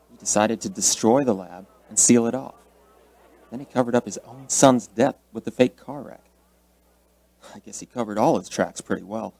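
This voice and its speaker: An actor playing a steady, folksy, plain-spoken small-town sheriff in a radio drama. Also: male voice, on the low side, natural voice.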